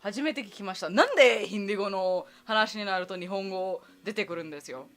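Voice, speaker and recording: A young woman from India, chatting casually as a streamer giving advice, self-deprecating and quick to laugh. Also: mid-range voice, a bit bright. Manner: chatty, self-deprecating, laughs often, switches into Hindi and Japanese.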